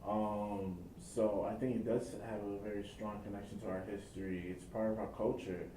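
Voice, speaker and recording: A young man speaks reflectively in a casual interview, stringing his thoughts together loosely. His voice is low and mellow. He sounds set back from the mic in an echoey room with a faint rumble. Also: level tone, normal speed, far from the mic.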